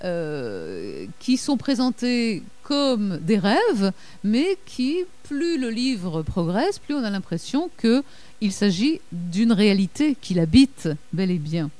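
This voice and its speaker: A woman giving an academic lecture, reading quotations aloud and pausing often with fillers and self-corrections. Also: mid-range voice, big pitch swings, warm tone, dry recording.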